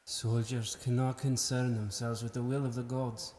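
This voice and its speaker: An actor playing a loyal general who speaks in a respectful, measured way. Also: male voice, medium pitch, little pitch movement, a little mellow, echoey room, long reverb tail, close to an everyday voice. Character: loyal old general, dutiful soldier.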